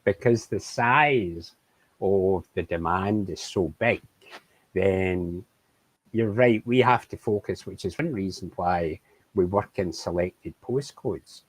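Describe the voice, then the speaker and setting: Male charity rep, husky low voice, measured questioning, dry sound. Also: dry recording, rough recording. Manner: measured, thoughtful, asks questions. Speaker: charity representative. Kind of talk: conversational interview.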